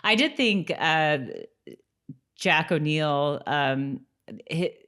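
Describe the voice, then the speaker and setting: Woman with a pretty low voice chatting on a podcast, casual episode recap. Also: expressive, very slow, fairly bright tone, clear, resonant tone. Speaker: podcast co-host.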